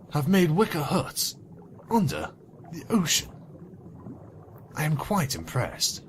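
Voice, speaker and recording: A man's voice: mid-range voice, fairly bright tone, a little hiss or hum. A man reading fan fiction as a narrator, theatrical, with sarcastic, wry asides. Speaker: narrator and commentator.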